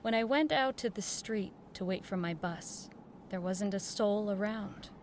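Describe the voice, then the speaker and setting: A woman's voice: mid-range voice, measured pace, slight background noise, close to an everyday voice. An actress playing an earnest, nervous woman who works in network radio, in radio drama dialogue and narration. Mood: haunted, awed.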